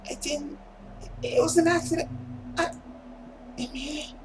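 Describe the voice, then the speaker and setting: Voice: high-pitched, fairly even intonation, unhurried, noisy background, pitched above the performer's own voice. A performer voicing a frightened, guilt-stricken young girl from a visual novel, pleading and stammering in denial. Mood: panicked denial. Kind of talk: dramatic visual novel character reading.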